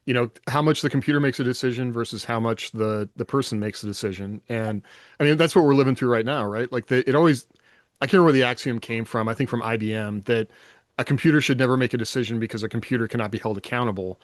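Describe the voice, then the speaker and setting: A man's voice: medium pitch, fast, no echo, studio quality. A man in a casual podcast panel discussion, explaining his thinking reflectively and often checking in with rhetorical questions.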